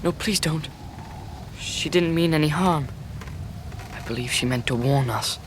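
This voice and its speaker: Actor as a timid, higher-voiced frightened boy. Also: unhurried, slight background noise, close to an everyday voice. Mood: anxious pleading. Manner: timid, anxious, hesitant. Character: frightened young boy of a noble family. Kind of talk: period audio drama acting.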